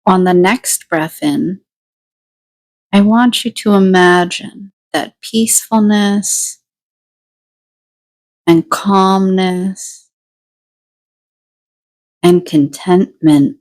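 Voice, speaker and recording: A woman with a slightly low voice leads a guided meditation at a very slow pace. She gives deliberate instructions in short phrases, leaving pauses between them. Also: a little mellow.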